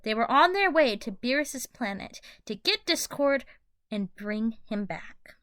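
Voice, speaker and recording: A woman narrates a fan-made audio story in a bright, fairly high voice. Her scripted reading is very lively in pitch, with a dry sound. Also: a little slow, dry recording.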